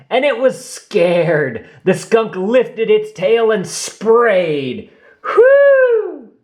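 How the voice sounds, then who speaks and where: Guy reading a kids book out loud, slow n dramatic, pitch going all over the place. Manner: expressive, theatrical emphasis with drawn-out phrases. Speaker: narrator reading a children's picture book. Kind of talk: read-aloud storytelling for young children.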